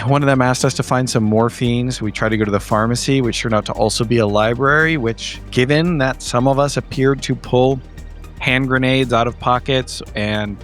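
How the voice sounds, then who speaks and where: A man's voice: medium pitch, fairly bright tone. Wry, wordy man over background music. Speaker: tabletop game player. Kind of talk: casual comedic recap of a game session. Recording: music under the voice.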